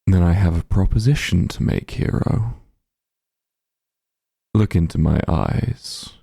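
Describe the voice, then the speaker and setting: A smug, possessive demon lord played by a man for a fantasy ASMR roleplay. The voice is low and very dark, thick with gravelly fry. He speaks without hurry, softly commanding and condescending. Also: animated, slow pace, no room sound, studio quality, heavily acted. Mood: coaxing, persuasive. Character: powerful demon lord, calm, smug, possessive, bargaining villain.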